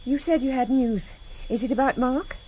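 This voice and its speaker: An actress in a vintage radio drama playing a sharp-tongued, wary politician's wife, guarded and direct. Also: female voice, high voice, normal speed, husky. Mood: guarded, worried. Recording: slightly noisy vintage radio recording.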